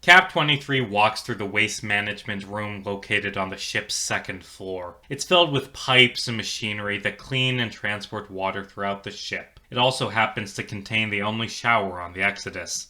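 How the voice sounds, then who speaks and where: A man's voice: fairly low pitch, moderate pace, hardly any pauses, pushed and bright. A man reading a science fiction short story as a dramatic narration.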